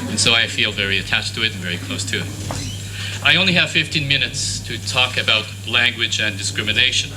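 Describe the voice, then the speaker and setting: A man whose first language is French gives a formal academic talk at a university conference, measured and with some restarts. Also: medium pitch. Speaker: conference speaker. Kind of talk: academic lecture. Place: university lecture hall. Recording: noisy conference room recording.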